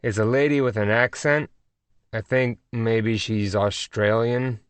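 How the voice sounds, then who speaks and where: A man reading an audiobook voices a cinema usher, flat and matter-of-fact. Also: lowish voice, a little slow, clean tone, no echo, close to an everyday voice. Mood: deadpan. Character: gruff adult male cinema usher.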